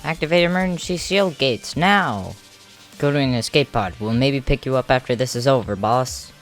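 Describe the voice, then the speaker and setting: A theatrical, expressive voice actor performing lines for an amateur comic dub. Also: medium pitch, moderate pace. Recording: music under the voice.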